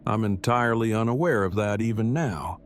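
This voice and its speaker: A man's voice: lowish voice, brisk, a little hiss or hum, close to an everyday voice. A man playing a wordy, self-deprecating, heartbroken nerd who speaks in stilted formal phrases in an audio drama. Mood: resigned, self-deprecating.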